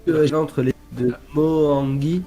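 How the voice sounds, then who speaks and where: A man's voice: a little high, steady tone, slow, dark tone, faint music behind. A man playing in an online tabletop game, chatting casually and thinking aloud. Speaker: player.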